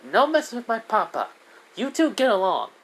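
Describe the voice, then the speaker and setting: A man's voice: sits high, wildly swooping pitch, normal speed, pitched above the performer's own voice, heavily acted. A man voicing a cheerful, protective little girl spirit in an amateur story, with a childlike, bubbly, pleading manner. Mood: pleading, bossy. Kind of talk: amateur character voice in improvised storytelling. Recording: noisy amateur recording.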